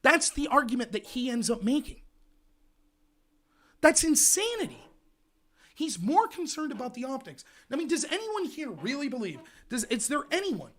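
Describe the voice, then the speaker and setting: Guy hosting a political livestream, high voice, loud and fired up, ranting hard. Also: sing-song, moderate pace. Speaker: livestream show host. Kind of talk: heated political commentary.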